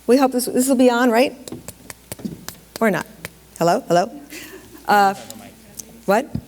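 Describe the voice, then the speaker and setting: A woman's voice: fairly high pitch, unhurried, a little mellow. A woman from Detroit leading a question session with students, chatty, with frequent fillers and restarts. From Detroit, Michigan. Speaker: dance company director leading a school Q&A. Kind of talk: casual public Q&A moderation. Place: school auditorium with student audience. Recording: hall reverb, audience noise.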